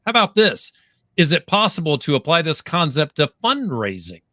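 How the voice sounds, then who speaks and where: A man's voice: on the high side, big pitch swings, normal speed, pushed and bright, dry recording, clean studio sound. A man hosting a live-streamed interview, upbeat and effusive. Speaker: podcast host.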